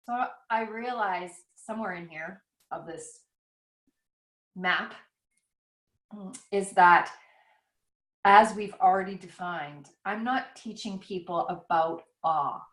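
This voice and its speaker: A woman's voice: medium pitch, slow, pauses often, fairly bright tone. A woman author talking over a video call, thinking aloud in a rambling, reflective, self-questioning way. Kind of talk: casual conversation over a call. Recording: roomy echo.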